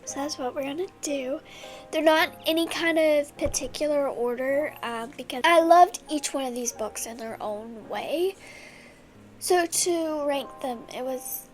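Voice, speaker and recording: Chatty high-voiced woman, congested. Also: measured pace, faint music behind. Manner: chatty and rambling, with many restarts. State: congested from a cold. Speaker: book vlogger. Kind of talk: casual chat.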